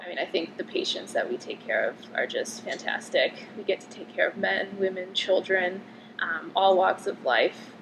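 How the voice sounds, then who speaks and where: A woman's voice: mid-range voice, creaky. Chatty young woman with background noise. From Connecticut. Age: 20s. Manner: chatty, frequent "um" and "like" fillers. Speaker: interviewee, medical student. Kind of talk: casual interview answers.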